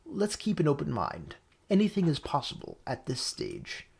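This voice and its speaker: A woman voicing an arrogant male falcon defence lawyer in a video game, lecturing and deliberate in a cutting, logical way. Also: deep voice, huge pitch swings, gravelly, darkish tone, breathy edge, full character voice. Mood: pensive.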